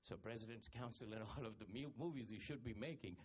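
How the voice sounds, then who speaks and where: Deep husky-voiced man from India. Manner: halting, many fillers and restarts, earnest. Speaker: audience member asking a question. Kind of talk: spontaneous question from the floor at a panel. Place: conference hall audience.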